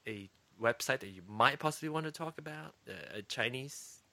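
A man's voice: mid-range voice, animated, moderate pace, pushed and bright, no room sound. A Malaysian man hosting a casual podcast, chatty and giggly with campy asides, talking over an internet call. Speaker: podcast host.

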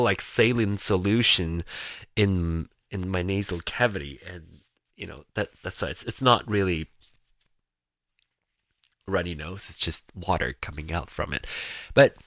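A man chatting casually in a rambling personal monologue, sounding nasal and congested, with a slightly raspy, gravelly voice. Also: deep voice, expressive, a little slow, pauses often, fairly bright tone, no echo. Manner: rambling, chatty, with frequent restarts. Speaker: vlogger.

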